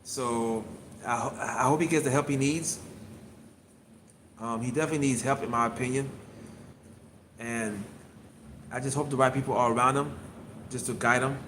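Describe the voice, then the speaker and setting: A man's voice: medium pitch, fairly bright tone, slight background noise. A man in his fifties, an acupuncture physician, talking casually on a solo livestream from his clinic, rambling and repeating himself as he answers viewers. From Miami. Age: 50s.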